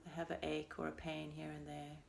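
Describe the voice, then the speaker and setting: A woman leading a guided meditation as a teacher, speaking in a gentle, unhurried and soothing way with pauses between phrases. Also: low-pitched, completely flat delivery, creaky, a little hiss or hum. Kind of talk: guided meditation, body scan.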